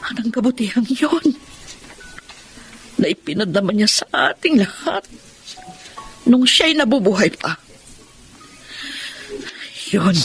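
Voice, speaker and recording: A grieving Filipino mother in a radio drama. She speaks with tearful, anguished emotion in a middle-aged woman's voice, with music clearly behind her. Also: mid-range voice, expressive, takes it slowly, long pauses. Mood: sorrowful, reflective. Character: grieving mother of a dead eldest son, anguished. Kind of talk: Tagalog radio drama acting.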